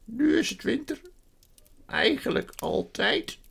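A storybook reader voicing a sorrowful, guilt-ridden male faun who wails and confesses haltingly. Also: medium pitch, big pitch swings, creaky, fairly bright tone, husky, voice pushed up, exaggerated voice. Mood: gloomy. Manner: wailing, self-accusing, halting.

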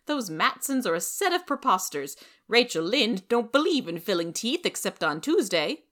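A woman voicing a sour, sharp-tongued woman of sixty in a storybook, scolding and sarcastic. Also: mid-range voice, big pitch swings, moderate pace, voice pushed up. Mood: huffy, snappish. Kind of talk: storybook character reading.

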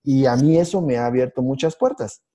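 A man co-hosting a podcast, telling stories in a halting way with many restarts and fillers. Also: mid-range voice, mellow. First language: Spanish. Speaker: podcast co-host, IT instructor. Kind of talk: casual podcast conversation.

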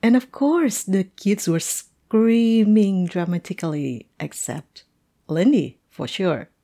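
A woman playing a playful, chatty teacher telling a colleague a story in an animated, amused, conversational audio roleplay. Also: mid-range voice, slow. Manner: animated, amused, punctuated with chuckles.

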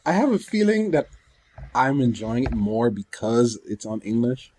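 A man co-hosting a podcast, chatting casually in a rambling, halting way. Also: mid-range voice, sing-song, a little mellow. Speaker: podcast co-host. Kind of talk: casual chat about anime and games.

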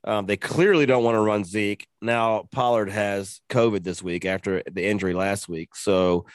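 Co-host, male, low voice, dry close sound, loose profane banter about picks. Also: fairly even intonation, normal speed, dry recording. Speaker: podcast co-host. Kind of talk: casual sports betting chat.

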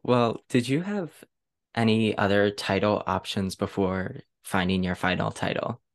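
A woman's voice: rumbling low, unhurried. A woman hosting a casual interview, speaking informally and with enthusiasm in loosely phrased sentences. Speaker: podcast host.

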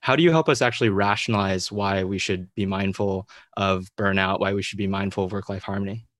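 A man around thirty asks earnest interview questions with a low, easy voice and casual fillers. The sound is dry and close, with no room echo. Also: steady tone, a little fast, fairly bright tone. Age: late 20s to early 30s. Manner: earnest, uses fillers like "you know". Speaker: student interviewer.

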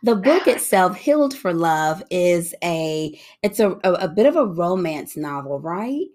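A woman's voice: lowish voice, very animated, measured pace, a little mellow. A woman hosting a podcast interview, upbeat and exclamatory as she welcomes her guest. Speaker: podcast host.

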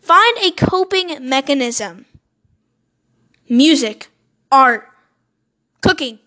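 A high-pitched young woman in her teens talks straight to her listeners. She rambles earnestly, trips over words and drops swear words and self-mocking jokes as she goes. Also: animated, very slow, bright, forceful tone. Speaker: podcast host. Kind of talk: solo motivational podcast monologue.

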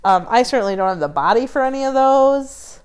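A woman's voice: high-pitched, a little fast, smooth. Laid back young woman chatting with a friend, says like a lot. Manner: relaxed, lots of "like" fillers. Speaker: podcast co-host. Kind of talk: casual chat.

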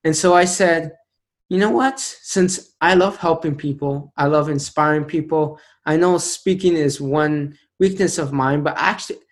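Man, late twenties, motivational speaker, earnest storytelling, slightly high voice. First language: an Indian language. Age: 20s.

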